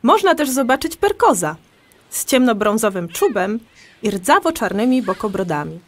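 An actress playing a patient, enthusiastic amateur birdwatcher who explains things in a conversational audio drama. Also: female voice, on the high side, sing-song, moderate pace. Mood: explaining.

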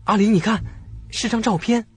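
A man playing an excitable young thrill-seeker. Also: high-pitched, moderate pace, breathy edge, voice pushed up. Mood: excited. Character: bold, teasing, excitable young thrill-seeker exploring a dorm. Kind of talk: horror audio drama acting.

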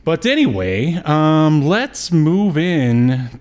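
A man's voice: on the high side, sing-song, drawn-out words, a little mellow. A middle-aged man chatting casually as a podcast host, talking from a room at home over a video call. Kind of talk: casual chat on a film review stream.

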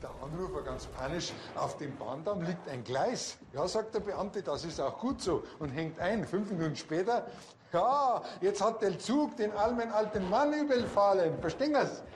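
Give a TV comedy policeman, blunt and cheeky, with a Bavarian accent. His voice sits fairly high and swings up and down. His wording is colloquial, and faint noise sits behind him. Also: lively intonation, moderate pace. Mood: chatty, insistent.

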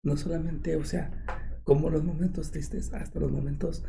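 A hoarse man with a cold, speaking casually as an interview guest. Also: on the high side, flat delivery, normal speed, dark tone, a little hiss or hum. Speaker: guest photographer.

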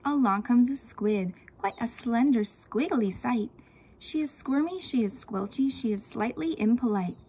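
A woman with a fairly high, somewhat husky voice reads a storybook aloud. She is expressive and talks straight to her young listeners like a teacher. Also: unhurried. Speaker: teacher reading aloud to pupils. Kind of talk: children's storybook read-aloud.

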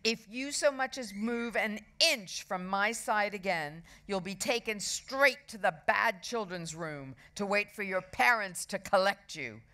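A woman's voice: medium pitch, sharp tone. A woman voicing a stern, snappish schoolteacher in a storybook, who draws out her threats. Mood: threatening. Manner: slow, drawn-out threats.

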